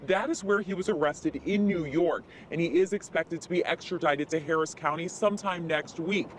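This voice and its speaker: Woman news reporter, live outdoor stand-up, crisp delivery, faint street noise behind. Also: fairly low pitch, moderate pace. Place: outdoors near a jail.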